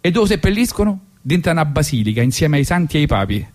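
A male radio host with a strong Roman accent and a somewhat high-set voice. He talks colloquially, with ironic comic intent, rambling and circling back over his phrases as in a loose stage monologue. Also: very animated, quick pace.

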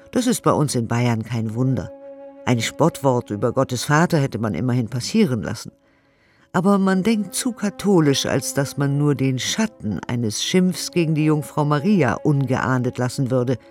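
A man's voice: mid-range voice, a little mellow. A man giving a formal, articulate, declamatory reading of old letters and reviews for a radio play. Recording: studio radio production with music.

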